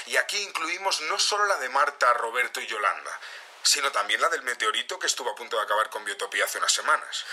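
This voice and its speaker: An actor playing an earnest, stern security chief giving an official, deliberate press conference statement in an audio drama. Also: male voice, mid-range voice, pushed and bright, husky, full character voice. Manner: official, deliberate, repeating phrases as he announces. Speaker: head of security.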